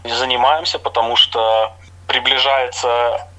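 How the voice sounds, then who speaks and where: Bright-voiced easygoing man from Latvia. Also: medium pitch, flat delivery, measured pace, rough recording. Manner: easygoing, explanatory, with hesitation sounds. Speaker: interview guest, amateur theatre maker. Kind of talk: casual radio interview.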